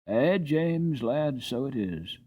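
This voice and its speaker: A man voicing a kindly older male coachman who speaks in a steady, encouraging way. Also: medium pitch, slow pace, breathy edge.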